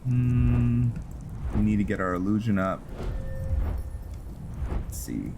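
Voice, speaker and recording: A man casually talking through his gameplay, musing aloud with frequent pauses and ums. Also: fairly low pitch, creaky. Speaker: gamer playing a roleplay let's play. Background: game music and rain sounds.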